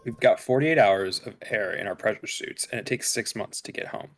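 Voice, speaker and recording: Guy reading cool evasive science officer on a spaceship, slightly low voice, table read. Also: normal speed, lightly acted. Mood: dry, skeptical. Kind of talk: dramatic script table read.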